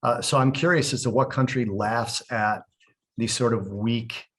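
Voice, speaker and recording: Male podcast co-host, mid-pitched, blunt and provocative questioner. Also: fairly even intonation, measured pace, husky. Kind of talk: casual interview chat.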